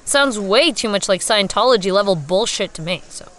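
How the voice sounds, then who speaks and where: An actress playing a bewildered, sceptical newcomer to an asylum in an audio drama, exasperated and sarcastic. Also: female voice, mid-range voice, lively intonation, normal speed, fairly bright tone, natural voice.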